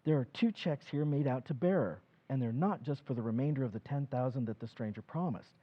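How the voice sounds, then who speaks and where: A man playing a fretful, husky husband. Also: medium pitch, brisk, muffled recording, natural voice. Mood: agitated. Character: anxious, fretful middle-aged husband. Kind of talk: old-time radio acting.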